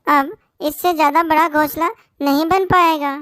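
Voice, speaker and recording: A woman voicing a kind, weary little female bird in a cartoon story, gentle and earnest. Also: high voice, fairly even intonation, moderate pace, no echo, studio quality. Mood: obliging. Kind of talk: Hindi cartoon story voice acting.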